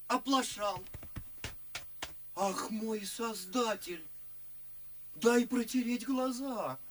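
An actor playing an effusive, foolish socialite in a radio verse comedy, rambling in melodramatic exclamations. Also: male voice, high-pitched, breathy edge, exaggerated voice. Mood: flustered, delighted. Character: effusive foolish tipsy socialite, self-abasing braggart.

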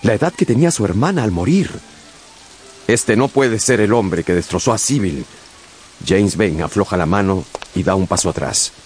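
A man narrating a radio novel in a dramatic, suspenseful style with measured phrasing. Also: lowish voice, expressive, darkish tone, faint music behind. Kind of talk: dramatic scripted audiobook narration.

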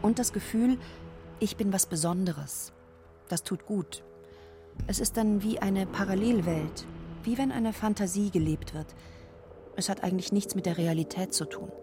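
A woman's voice: mid-range voice, normal speed, noisy background. A woman talking reflectively in short, simple statements.

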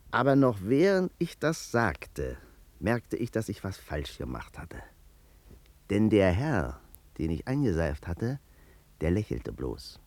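An actor playing a nervous, talkative barber in a 1950s radio crime play, with a chatty, colloquial manner. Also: male voice, lowish voice, darkish tone, no echo. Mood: uneasy recounting. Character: nervous, talkative Berlin barber, afraid of the courts. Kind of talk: 1950s German radio play acting, first-person narration.

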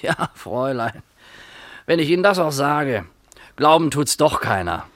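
An actor in a German radio play playing a sly, superstitious village quack with a knowing, insinuating, pious manner. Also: male voice, mid-range voice, sing-song, unhurried, a bit bright, no room sound. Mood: secretive. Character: sly, superstitious village quack dispensing dark hints and charms.

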